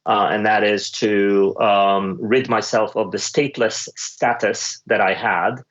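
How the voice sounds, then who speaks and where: A man from the Middle East speaking as an executive panellist, giving measured answers and often pausing to search for words. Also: lowish voice, steady tone, a bit bright. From Middle East (Lebanon, Kuwait, Dubai). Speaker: panellist, senior finance executive. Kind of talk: panel discussion, considered answers. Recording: dull, muffled lo-fi audio.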